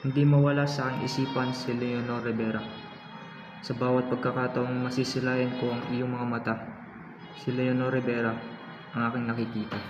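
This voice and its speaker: A man's voice: mid-range voice, level tone, normal speed, over music, lightly acted. A man with a Filipino accent playing an earnest, courteous young patriot writer in a radio drama, speaking in formal, literary phrases. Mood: apologetic, frank. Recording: echoey, noisy, muffled amateur radio drama recording.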